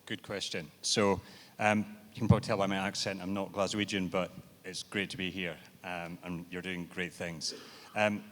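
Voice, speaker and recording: A man's voice: sits low, level tone, moderate pace, a bit bright. A man answering questions on a conference panel in a businesslike, explaining way. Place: large event hall. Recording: reverberant hall.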